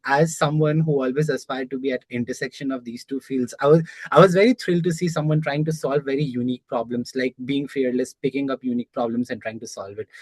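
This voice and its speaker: Young man, measured explaining, dry close sound, video call guest. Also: medium pitch, dry recording, low-quality recording. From Nepal. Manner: measured, explanatory, many "like" fillers. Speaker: guest, software engineer. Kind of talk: casual interview answers.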